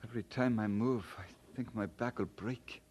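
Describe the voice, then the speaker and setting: A man's voice: fairly low pitch, steady tone, normal speed, darkish tone, slight background noise, close to an everyday voice. A man playing a polite, earnest, hard-working young Scottish immigrant in an old radio drama. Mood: weary, discouraged. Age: young adult.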